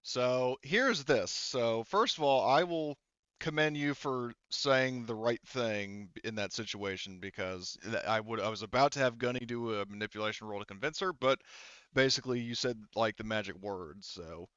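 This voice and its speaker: A man narrating a tabletop roleplaying game as game master in a halting, conversational way. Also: mid-range voice, a bit bright, smooth, no echo, dull audio.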